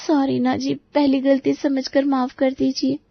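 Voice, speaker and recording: A woman's voice: high voice, monotone, measured pace, creaky. A woman voicing a meek, wronged young wife in a pleading, plaintive way. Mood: apologetic. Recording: dull lo-fi recording.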